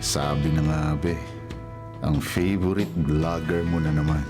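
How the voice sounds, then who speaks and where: An actor playing an amused, teasing older brother in a Filipino radio drama, with a Filipino accent. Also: male voice, extremely low pitch, fairly even intonation, a little slow. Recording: guitar music behind the voice.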